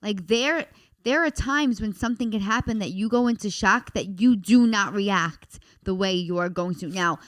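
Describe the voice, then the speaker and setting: A woman co-hosting a casual talk show, with a loud voice, outspoken and reflective. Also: medium pitch, normal speed. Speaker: podcast co-host. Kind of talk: casual chat.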